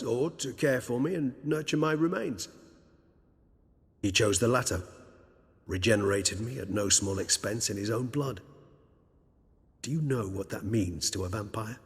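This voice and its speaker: An ancient, urbane vampire in a video game cutscene, gentle and loyal. The voice is very husky and sits in a long reverb. The phrasing is formal, erudite and dryly wry. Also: male voice, mid-range voice, normal speed, pauses often. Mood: grave, storytelling. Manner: formal, wordy, wry, archaic turns of phrase.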